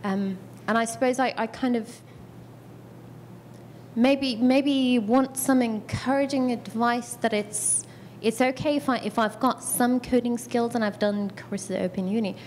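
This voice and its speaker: A woman in her thirties asks a question from the floor, chatty and self-deprecating. She plays out her own reactions in little asides. A faint rumble sits under the recording. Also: fairly even intonation, a little slow, darkish tone, rough recording. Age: 30s. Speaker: audience member asking a question.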